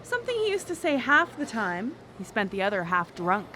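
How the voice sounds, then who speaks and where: A woman playing a brash, flirtatious, sharp-tongued date in a live radio drama, blunt and dramatic. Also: fairly high pitch, very animated, fairly quick, slight background noise. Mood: playful.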